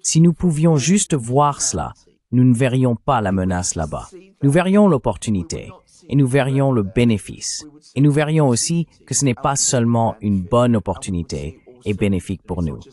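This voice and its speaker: A synthetic male voice carries a translated commentary. It has a mid-range pitch that swings widely, and it argues its case with steady emphasis. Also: moderate pace. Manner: emphatic, argumentative.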